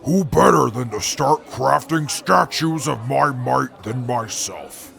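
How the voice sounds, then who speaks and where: A pompous super mutant brute rumbles through his boasts with a heavily gravelly, fry-laden voice, as a man acts him out. He speaks slowly and grandly, like a self-important giant in a radio play. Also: animated, a bit bright, soft background music, exaggerated voice. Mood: boastful. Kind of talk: fan audio drama.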